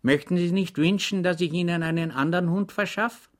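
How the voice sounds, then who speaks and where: A man's voice: high voice, fairly quick, pitched above the performer's own voice, lightly acted. A man reading an audiobook, voicing a cheerful, simple-minded soldier orderly who chats earnestly and deferentially. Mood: eager, deferential. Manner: chatty, earnest and deferential, rambling anecdotes.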